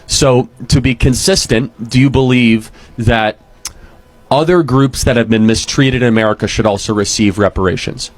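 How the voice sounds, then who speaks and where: A man's voice: mid-range voice, moderate pace, no room sound. A man in a live debate, pressing his opponent with argumentative questions. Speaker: debater at a campus table.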